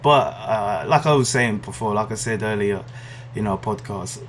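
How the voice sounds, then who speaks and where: A man's voice: lowish voice, moderate pace, fairly bright tone, slight background noise. A man co-hosting a podcast, chatting casually in a hesitant, agreeable way. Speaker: podcast co-host. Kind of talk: casual chat about comic book movies.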